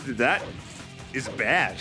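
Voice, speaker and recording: A man's voice: medium pitch, lively intonation, very slow, music in the background. A man giving casual, joking commentary as he and his friends go through a video game. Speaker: let's play commentator.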